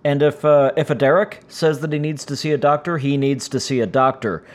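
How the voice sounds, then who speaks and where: A man with a raspy, strained voice from injured vocal cords, hosting his own podcast in a rambling, casual way full of fillers. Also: on the high side, steady tone, brisk. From United States. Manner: rambling, frequent "uh" fillers, self-deprecating. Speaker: podcast host. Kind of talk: casual solo podcast chat. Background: cats making noise.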